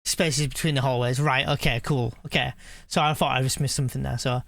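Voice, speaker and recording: A man's voice: mid-range voice, fairly even intonation, fairly quick, creaky, a bit bright, dry recording, studio quality. A man streaming a game, chatting casually and musing aloud in a rambling way. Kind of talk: casual live-stream chat and reaction.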